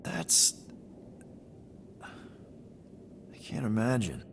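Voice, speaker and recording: A man's voice: fairly low pitch, very animated, extremely slow, a little hiss or hum, heavily acted. An actor voicing a flippant but good-hearted space adventurer in a video game, casual and a little hesitant in his speech. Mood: sincere, awkward. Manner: casual, joking, hesitant when sincere. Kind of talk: cinematic video game voice acting.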